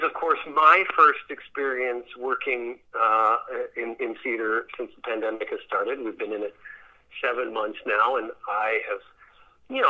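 Muffled, band-limited audio like a radio call carries a man's voice at an average pitch. He speaks conversationally as an interview guest, thinking aloud in rambling answers and doubling back on words as he goes. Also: bright, forceful tone. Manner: rambling, thoughtful, repeats words as he searches. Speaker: theater director, interview guest.

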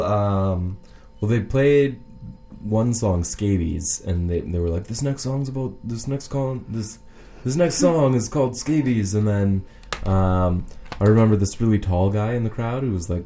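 A man co-hosting a radio show in casual, unscripted chat, speaking haltingly and restarting his phrases. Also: lowish voice, warm tone. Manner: halting, repeats phrase openings. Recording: faint background noise.

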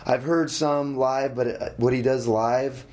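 A middle-aged musician being interviewed, talking casually with many halting restarts. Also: male voice, mid-range voice, steady tone, a little mellow, slight background noise.

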